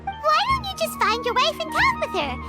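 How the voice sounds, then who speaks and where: An actress voicing a tiny, bubbly and bossy female fairy companion in a game, with a high chirpy voice. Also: wildly swooping pitch, normal speed, exaggerated voice. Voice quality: high, chirpy, speaks of herself in third person. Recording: game cutscene with loud music behind.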